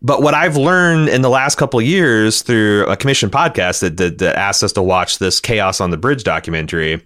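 A man co-hosting a podcast, discussing television casually and bluntly with some swearing, in a halting, rambling way that circles back over words. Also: on the low side, animated, a bit bright. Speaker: podcast co-host.

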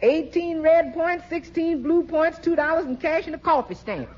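High-voiced outspoken household cook. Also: female voice, lively intonation, normal speed, darkish tone. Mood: frank. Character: matter-of-fact, outspoken female household cook. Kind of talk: 1940s radio comedy acting. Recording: dull old radio recording.

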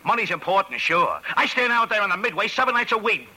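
A hard-bitten American carnival barker out of a 1940s radio play. His voice is piercingly forceful and fairly high, swinging widely in pitch as he throws out sardonic slang. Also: sing-song, quick pace, full character voice, low-quality recording. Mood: angry, scornful.

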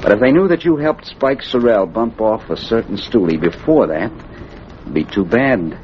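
Male actor as menacing racketeer: low voice, slangy threats, old radio acting, dull noisy recording. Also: lively intonation, moderate pace, close to an everyday voice. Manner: threatening, slangy gangster talk. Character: menacing, smug racketeer blackmailing an underling.

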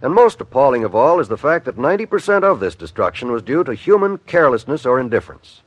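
Animated old-time radio announcer, a man. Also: mid-range voice, quick pace, no echo. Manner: earnest, formal, emphatic.

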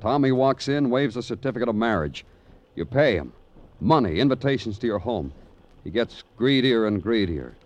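An actor playing a weary, relentless American police detective in a 1950s radio crime drama, clipped and brooding. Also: male voice, medium pitch, very animated, moderate pace, darkish tone, lo-fi sound, natural voice. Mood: accusing. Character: hard-boiled weary Broadway police detective, relentless and knowing.

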